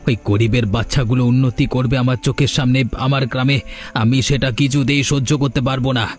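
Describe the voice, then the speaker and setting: A cartoon village gang boss played by a man, spiteful and boastful. He schemes in a menacing way in a mid-pitched voice, with music playing behind him. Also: steady tone, fast, close to an everyday voice. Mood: resentful. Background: background music.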